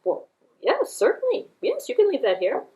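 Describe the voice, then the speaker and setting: A woman's voice: high-pitched, wildly swooping pitch, moderate pace, dark tone, voice pushed up. A woman voicing a polite, apologetic country lady in a puppet show, speaking in a fluttery, hesitant, eager-to-please way. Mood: obliging.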